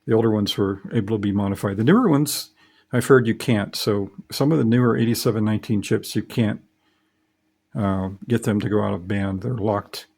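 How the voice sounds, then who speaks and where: A man's voice: lowish voice, a little fast, vocal fry, darkish tone. A middle-aged man who hosts a ham radio hobby vlog, explaining things in a casual, matter-of-fact, conversational way. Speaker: host, amateur radio hobbyist.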